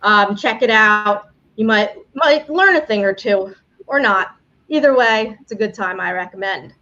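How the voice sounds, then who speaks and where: A woman's voice: mid-range voice, measured pace, bright, forceful tone. Chatty New York woman, lo-fi stream. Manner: chatty, rambling with restarts. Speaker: game show host, immigration attorney. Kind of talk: casual livestream talk. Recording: dull lo-fi livestream audio.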